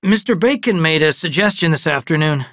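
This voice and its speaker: A man voicing a grave, widowed father of twins who speaks in a measured, earnest way. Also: fairly high pitch, lively intonation, fairly bright tone, dry recording, clean studio sound, pitched above the performer's own voice.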